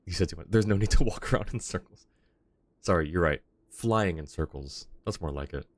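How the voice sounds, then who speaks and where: A man's voice: sits low, fairly quick, no echo. A man doing casual live game commentary as a streamer, playful and mock-dramatic as he chats to viewers.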